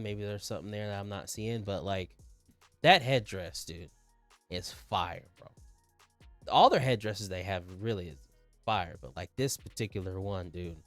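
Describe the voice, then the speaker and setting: Male reaction host, lower register, dry close sound, slangy and repetitive, enthusiastic commentary. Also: no room sound. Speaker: music reaction video host.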